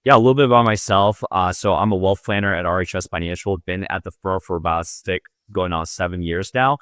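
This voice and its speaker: Relaxed man with an American accent. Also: sits low, a little fast, clean tone, no echo, clean studio sound. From Latvia. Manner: easygoing, many "uh" fillers. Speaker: guest wealth planner. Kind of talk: casual podcast interview.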